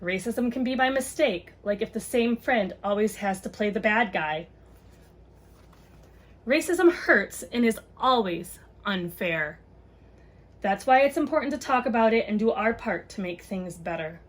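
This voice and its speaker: A woman's voice: medium pitch, animated, measured pace. A woman reading a children's book aloud and chatting casually to parents, explaining things simply.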